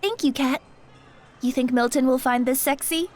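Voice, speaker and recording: A woman's voice: high voice, normal speed, close to an everyday voice. A woman playing a sweet, polite and eager young woman in an audio drama, gushing. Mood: grateful. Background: light music.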